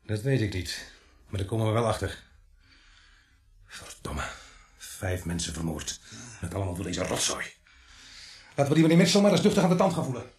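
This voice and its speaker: An actor playing a brusque, shrewd police sergeant in a radio play, with a gruff, bossy way of explaining things. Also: male voice, on the low side, big pitch swings, fairly quick, long pauses, roomy sound. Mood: grim. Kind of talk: Dutch radio play acting.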